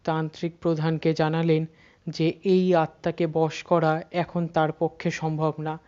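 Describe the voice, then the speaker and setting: A horror story narrator speaks in a very monotone voice of middling pitch. The delivery leans on suspenseful pauses and repetition. The recording is dull and slightly muffled. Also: clear, resonant tone. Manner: dramatic pauses and repeated words for suspense.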